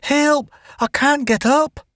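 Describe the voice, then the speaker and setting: A woman's voice: sits high, steady tone, slow pace, a bit bright, dry recording, clean studio sound, pitched above the performer's own voice. A man voicing a timid young woman who stammers and quavers with fright. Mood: screaming.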